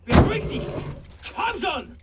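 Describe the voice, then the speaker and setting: Checkpoint guard: high voice, very animated, officious, game scene with clear vehicle noise. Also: male voice, measured pace, heavily acted. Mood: urgent, officious. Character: brisk German checkpoint guard.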